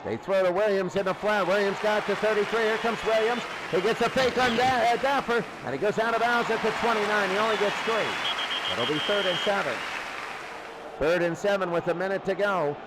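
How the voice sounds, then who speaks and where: High-pitched male football announcer, noisy recording. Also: a little fast, over a noisy crowd. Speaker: play-by-play announcer. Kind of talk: live American football commentary.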